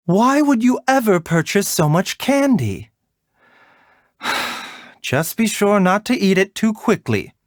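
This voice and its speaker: A man voicing a gentle, refined video game doctor who is polite, soft-spoken, caring and a little wry. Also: high voice, dry recording, clean studio sound. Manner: measured, soft-spoken, polite, lightly teasing. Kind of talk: video game character voice lines.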